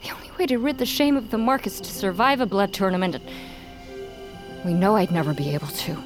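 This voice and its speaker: An actress as a young warrior woman, proud, bitter and guarded. Her delivery is brooding and intense, often dropping toward a hush. It is cinematic fantasy audio drama, set over music. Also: medium pitch, brisk, close to an everyday voice. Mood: bitter, anxious.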